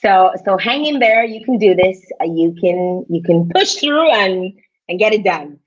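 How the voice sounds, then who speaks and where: A woman in her thirties hosting a casual online interview, effusive and fond of shout-outs, heard over a video call. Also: mid-range voice, expressive, a little slow. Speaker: host. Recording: slightly dull lo-fi sound.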